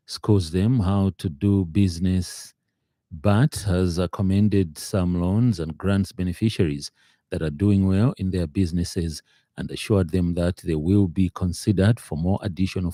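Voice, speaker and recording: A man reads a formal radio news bulletin in a measured, slightly halting way. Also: low voice, warm tone, no room sound, studio quality. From Zambia. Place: radio studio.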